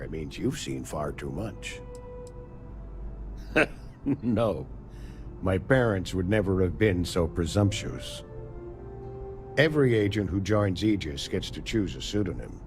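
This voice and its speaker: A wry male intelligence agent speaks with varied, expressive intonation and a dry, faintly superior air. Game music plays prominently behind him. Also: sits low, a little slow, pauses often. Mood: guarded amusement. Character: wry, composed male secret agent briefing a recruit. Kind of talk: video game dialogue.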